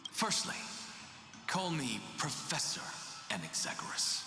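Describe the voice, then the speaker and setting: Pompous, slow-speaking professor, echoing hall. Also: male voice, on the high side, sing-song. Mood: stern, lecturing. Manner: pompous, drawn-out, condescending delivery. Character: haughty, sharp-tongued male professor and sage, theatrically dismissive. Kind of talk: scripted fantasy game voice acting. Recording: echoey hall reverb, music behind.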